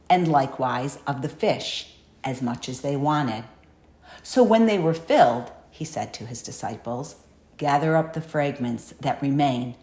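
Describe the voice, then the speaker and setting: Low-voiced woman reading scripture in echoey room. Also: darkish tone. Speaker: audiobook narrator.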